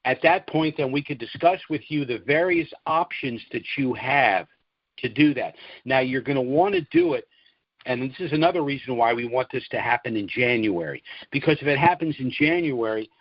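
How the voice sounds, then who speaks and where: A man's voice: medium pitch, lively intonation, no room sound. Man, conference call host, businesslike, dry muffled line. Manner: businesslike, spells out contact details slowly. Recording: dull lo-fi call audio.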